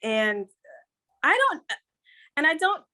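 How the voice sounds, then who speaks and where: A middle-aged woman from Guyana talks as a guest on an interview podcast. Her voice sits a little high. She is earnest and upbeat and often repeats a phrase to drive it home. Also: big pitch swings, slow pace, pushed and bright. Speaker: guest, business consultant.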